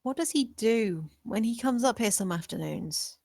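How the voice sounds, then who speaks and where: A woman's voice: fairly high pitch, expressive, moderate pace, creaky, darkish tone, dry recording, clean studio sound. A woman playing a bold, scheming young maid in a screenplay table read. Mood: probing, hesitant.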